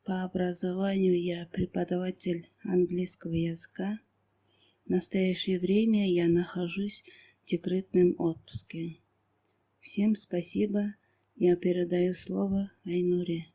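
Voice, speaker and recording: Woman, 30, slow monotone, dark, dull lo-fi call audio. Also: fairly low pitch, husky. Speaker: English teacher introducing herself.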